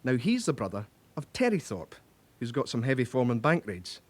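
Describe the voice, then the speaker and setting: An actor playing a methodical, self-satisfied British police records officer, explaining in measured phrases, in a radio drama. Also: male voice, mid-range voice, very animated. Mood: pleased, methodical.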